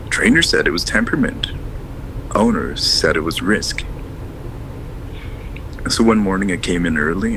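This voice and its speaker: Casting: male actor as quiet reflective caller, very low voice, clear background noise on the line. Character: subdued man caller, former horse-barn worker.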